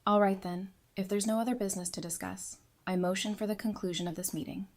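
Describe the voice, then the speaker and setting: A woman's voice: medium pitch, fairly even intonation, quick pace, a little mellow, natural voice. A woman playing an earnest, organized newlywed archivist in a fan audio drama, articulate and wry. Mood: businesslike.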